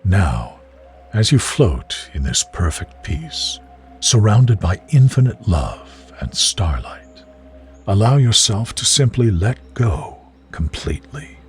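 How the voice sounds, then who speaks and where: Male narrator for guided sleep visualization. Deep, very slow, soothing and measured, with music underneath. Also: sing-song. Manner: soothing, gentle, measured delivery. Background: music behind the voice.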